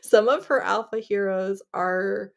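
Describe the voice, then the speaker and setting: A woman's voice: mid-range voice, unhurried, creaky. A woman in a casual book chat, talking emphatically and peppering her speech with "like" and "you know". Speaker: book discussion panelist.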